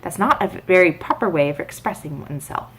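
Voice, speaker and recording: A woman's voice: lowish voice, lively intonation, fairly quick, breathy edge, low-quality recording. A woman voicing a polite, curious little girl in a children's storybook, speaking in an earnest, proper manner. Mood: serious.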